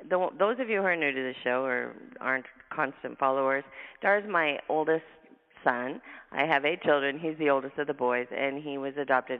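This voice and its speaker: A woman with a husky, gravelly voice hosts a radio interview, talking fast and effusively and sounding travel-tired. She comes through a tinny phone line with a long reverb tail. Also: low voice. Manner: fast, effusive talker, laughs often. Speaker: radio show host. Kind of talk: casual radio interview chat.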